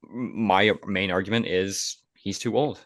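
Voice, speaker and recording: A man's voice: low-pitched, steady tone, measured pace, fairly bright tone, dry recording, studio quality. A man hosting a podcast, chatting casually about movies in an informal, opinionated way. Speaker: podcast host.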